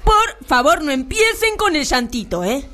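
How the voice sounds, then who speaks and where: A woman's voice: high voice, sing-song, measured pace, a bit bright, exaggerated voice. A woman playing a gleefully wicked, bossy kindergarten teacher in comic radio theatre, mock-sweet and imperious. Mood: impatient, scolding.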